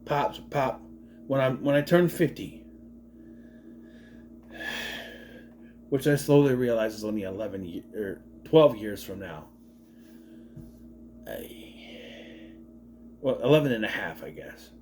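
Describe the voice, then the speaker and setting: A man's voice: on the low side, lively intonation, slow, long pauses, a little mellow, slight background noise. A man in his late thirties giving a casual movie review, rambling, swearing freely and wandering off on tangents. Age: 30s.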